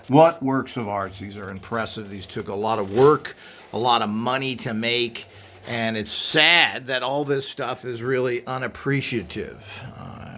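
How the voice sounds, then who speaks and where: A man's voice: mid-range voice, fairly bright tone. Blunt man rambling over background noise. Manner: blunt, griping. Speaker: presenter demonstrating vintage equipment. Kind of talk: casual unscripted show-and-tell with opinionated rambling.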